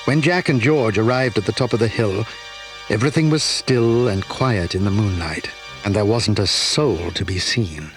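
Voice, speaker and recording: A man narrating a children's radio play with expressive, measured delivery. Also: on the low side, faint music behind. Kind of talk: scripted storybook narration.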